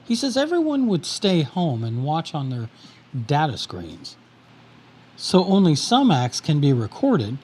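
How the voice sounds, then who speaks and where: An actor playing a frustrated investigator in an audio drama, explaining things in a matter-of-fact way. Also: male voice, a little high, wildly swooping pitch, unhurried, darkish tone, breathy edge, full character voice. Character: grim male investigator, frustrated.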